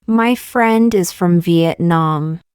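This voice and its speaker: A woman reading short practice sentences aloud for learners, saying each one twice, slowly and carefully. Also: on the low side, darkish tone, clean tone, dry recording, clean studio sound. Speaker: English teacher.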